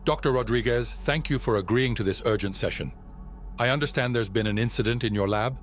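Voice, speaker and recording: An actor playing a measured, formal psychiatrist on a space expedition in an audio drama. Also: male voice, medium pitch, faint music behind, lightly acted. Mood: gently probing. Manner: calm, formal, clinical. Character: measured, clinical male psychiatrist on a Mars expedition. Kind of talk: audio drama log and session dialogue.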